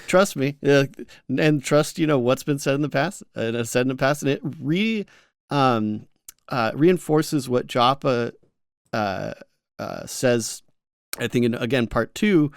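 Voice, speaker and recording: A man with a smooth, clean voice co-hosts a gaming podcast. He chats informally and a little haltingly, recorded close and dry with no room sound. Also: medium pitch, dry recording. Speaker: co-host of a gaming news recap show. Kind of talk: casual podcast chat.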